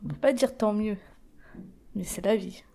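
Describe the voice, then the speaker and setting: A woman in her twenties from the Paris region speaks plainly to an interviewer. She pauses often and hesitates with fillers. Her voice is mellow and dark, and her pitch sits at an ordinary middle level. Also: gravelly. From Paris region, France. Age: 20s. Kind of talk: documentary interview testimony.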